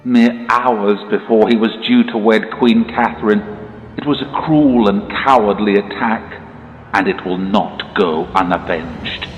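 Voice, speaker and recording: A dull, heavily echoing broadcast carries an actor as a solemn male usurper making a royal proclamation. His voice is very low and slow, with pompous, measured phrasing and self-righteous gravity. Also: faint music behind, close to an everyday voice. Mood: solemn, vengeful. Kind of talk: formal broadcast speech in audio drama.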